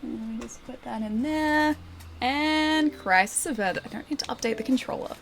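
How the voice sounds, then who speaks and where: A woman's voice: fairly high pitch, moderate pace, slight background noise. A young Australian woman streaming a game, chatting casually and answering her viewers. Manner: chatty, upbeat, reads chat aloud and answers viewers. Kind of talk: casual live-stream chat while gaming. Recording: game music playing behind the voice.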